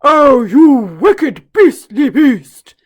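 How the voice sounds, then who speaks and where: A woman voicing a big, angry elephant in a children's story, bellowing slowly. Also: expressive. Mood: furious. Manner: booming, slow, bellowing.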